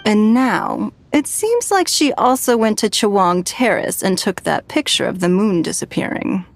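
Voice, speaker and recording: A calm female adventurer in a fantasy game, mid-pitched with noticeable creak. She speaks thoughtfully and with lively intonation over faint music. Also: moderate pace, no room sound. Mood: pondering. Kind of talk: video game voice acting.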